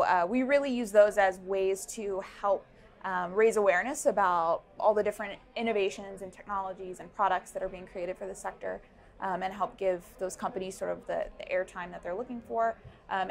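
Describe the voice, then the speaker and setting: A young woman, a founder, answering interview questions at an indoor event with a lapel mic, thoughtful and full of ums and restarts. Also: medium pitch. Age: young adult. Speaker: startup founder. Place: busy indoor networking event.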